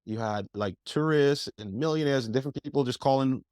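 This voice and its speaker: A man's voice: medium pitch, fairly quick, darkish tone, smooth, dry recording, clean studio sound. A young man, a podcast guest telling his story in an eager, rambling way, full of fillers. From Bahamas. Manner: eager, rambling, heavy use of like and you know. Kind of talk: casual interview storytelling.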